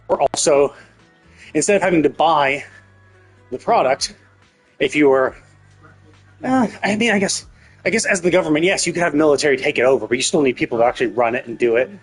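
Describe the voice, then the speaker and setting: A man live-streaming a story game, giving casual commentary in a rambling, repetitive, emphatic way. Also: on the high side. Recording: game music behind the voice. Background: game music.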